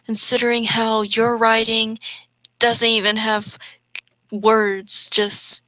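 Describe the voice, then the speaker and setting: A woman's voice: a little high, level tone, slow, pushed and bright, dry recording, rough recording. A woman co-hosting a comedic dramatic reading of fan fiction, adding sarcastic asides.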